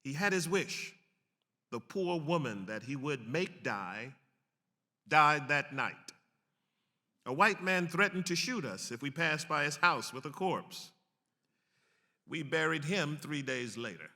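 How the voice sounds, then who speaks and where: A man's voice: a little high, lively intonation, slow, pauses often, bright, forceful tone. A man giving a grave, declamatory dramatic reading of a historical text, as an actor on a stage. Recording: echoey hall with long reverb.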